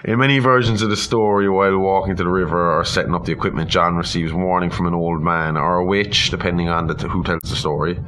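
A man's voice: low-pitched, fairly even intonation, fast talker, few pauses, fairly bright tone, a little hiss or hum. A man hosting a casual chat, retelling a story in a rambling, irreverent way with humorous asides and some swearing. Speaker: podcast host.

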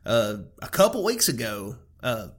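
A man co-hosting a podcast, trading quick jokes in casual chat while nursing a head cold. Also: mid-range voice, sing-song. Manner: joking, quick with one-liners. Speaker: podcast co-host.

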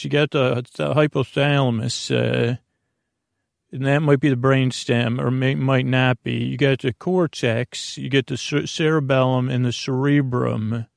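A man rambling through an improvised sleep podcast monologue, halting, apologetic and self-deprecating, often trailing off and circling back. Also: medium pitch, fairly even intonation, creaky, no room sound, clean studio sound. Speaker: podcast host.

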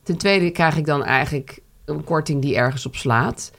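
A woman's voice: deep voice, moderate pace. Chatty Dutch-raised woman telling a story. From Netherlands. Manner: chatty storyteller, many fillers and restarts. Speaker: podcast co-host. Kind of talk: casual chat.

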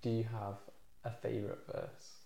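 Male co-host: measured, friendly, a gravelly tone, recorded in a roomy space. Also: fairly low pitch, level tone, dark tone. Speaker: podcast co-host. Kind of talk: casual chat and sign-off. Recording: roomy echo.

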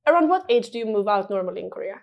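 A woman's voice: medium pitch, very animated, rapid-fire, darkish tone. A woman speaking as a podcast host, introducing and interviewing a guest. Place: studio room, in person.

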